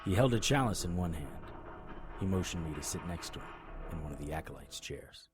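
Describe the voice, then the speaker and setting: An actor playing a brooding, wry investigator who narrates a noir-style audio drama in a dry, hard-boiled manner. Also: male voice, low voice, expressive, fairly quick, music in the background. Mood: grim, wry. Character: brooding, wry, stubborn male supernatural investigator. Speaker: narrator and lead character.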